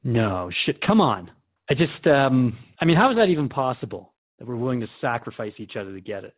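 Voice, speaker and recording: A man's voice: mid-range voice, very animated, a little fast. A man playing an earnest, unsettled radio host who asks questions in a conversational, hesitant way in a radio drama. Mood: disbelieving. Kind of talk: radio drama dialogue in interview form. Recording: dull, lo-fi sound.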